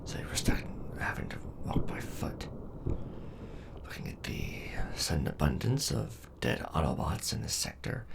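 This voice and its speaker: Very deep gravelly man voicing a Decepticon robot soldier. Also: full character voice. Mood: weary, brooding. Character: gruff loyal Decepticon robot soldier, defiant, war-weary. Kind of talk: ASMR roleplay audio drama.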